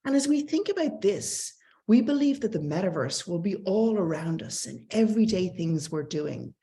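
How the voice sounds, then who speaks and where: Irish woman, middle-aged, lowish voice, upbeat conference speaker with slides. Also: big pitch swings, moderate pace, dark tone. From Ireland. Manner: enthusiastic, conversational, self-deprecating asides. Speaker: tech company speaker.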